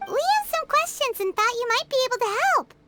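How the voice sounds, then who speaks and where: A woman voicing a small, chirpy fairy companion girl in a video game, with a squeaky childlike voice. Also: expressive, brisk, loud music behind the voice. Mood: puzzled. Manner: refers to herself in third person. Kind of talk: video game character acting.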